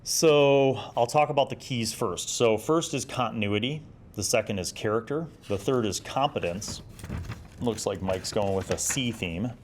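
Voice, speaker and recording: A man's voice: medium pitch, unhurried, slight background noise. A middle-aged man giving casual, conversational commentary as he reads and reviews an article, with a wry, nerdy manner. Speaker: host of a retro tabletop gaming review series.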